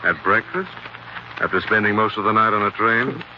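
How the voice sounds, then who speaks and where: An actor playing an unruffled, wry gentleman sleuth with dry understatement, in 1940s radio drama style. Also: lowish voice, moderate pace, lightly acted. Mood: wry, relaxed. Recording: dull, noisy 1940s radio recording.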